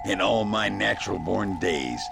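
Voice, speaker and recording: An actor playing a weathered old gunslinger in a western video game, wry and world-weary, with a gravelly drawl. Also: male voice, on the low side, unhurried, over loud music. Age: older.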